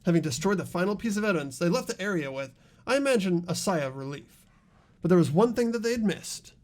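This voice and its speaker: A man voicing an earnest high school boy in a mystery game, explaining a case in a deliberate, dramatic way. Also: animated. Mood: grave, determined. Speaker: amateur voice actor in a game playthrough.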